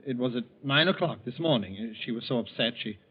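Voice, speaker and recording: A medium-pitched man as an evasive husband in an old radio drama. He stammers and stays guardedly polite, sounding uneasy. The sound is very narrow and tinny, like a 1940s broadcast. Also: lively intonation, fast talker, breathy edge. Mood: subdued, nervous. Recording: tinny, band-limited 1940s radio recording.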